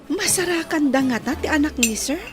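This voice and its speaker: A woman's voice: sits high, lively intonation, normal speed, music in the background. An actress playing a curious, chatty young village woman in a radio drama.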